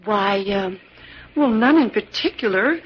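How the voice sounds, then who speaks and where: An actress playing a brisk, skeptical young lady in 1940s radio drama style. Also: mid-range voice, animated, breathy edge. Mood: hesitant compliance. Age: young adult. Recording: muffled, noisy old radio recording.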